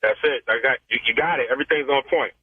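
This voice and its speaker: Husky, quick-talking man on the phone. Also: medium pitch, no room sound. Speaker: prank call target. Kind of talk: casual phone conversation. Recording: dull, dry telephone line.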